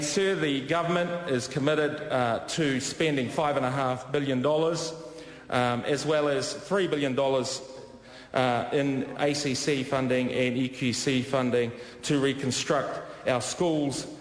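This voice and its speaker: Hesitant man in an echoing chamber. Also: medium pitch. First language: Samoan. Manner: formal, hesitant with many fillers, addresses the Speaker as sir. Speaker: member of parliament. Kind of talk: formal parliamentary speech. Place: parliamentary debating chamber. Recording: echoey chamber with long reverb.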